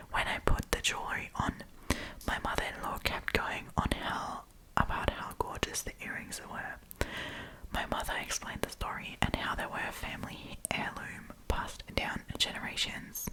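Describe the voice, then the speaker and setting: Female ASMR storyteller, entirely whispered, informal and chatty, reading aloud with offhand commentary. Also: rough recording. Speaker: host reading and reacting to online stories.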